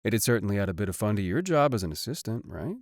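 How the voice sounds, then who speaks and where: Man playing a low-voiced flirty band idol. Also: sing-song, rapid-fire, no echo, studio quality. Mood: dreamy, casual. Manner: playful, suggestive, drawling asides. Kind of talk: scripted romantic audio roleplay.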